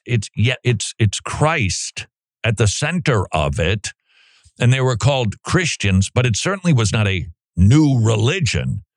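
A man's voice: fairly low pitch, no echo, studio quality. A male commentary host reading a text aloud and reacting with sarcastic, incredulous asides.

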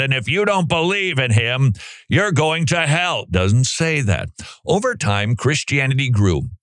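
A man's voice: mid-range voice, fairly bright tone, no room sound, studio quality. A male commentary host reading a text aloud and reacting with sarcastic, incredulous asides.

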